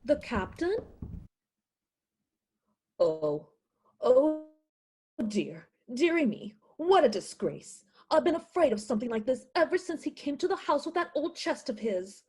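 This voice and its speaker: A woman's voice: high-pitched, very animated, unhurried, pauses often, a little mellow, slightly rough, full character voice. A woman playing a fretful, anxious mother in a radio play, flustered and exclaiming.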